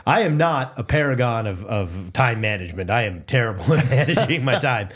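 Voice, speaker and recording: A man's voice: medium pitch, animated, fairly quick, slightly rough. A man in his forties, a podcast guest in casual chat, talking in a chatty, self-deprecating way full of "you know" fillers, in a dim studio on a desk mic. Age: 40s.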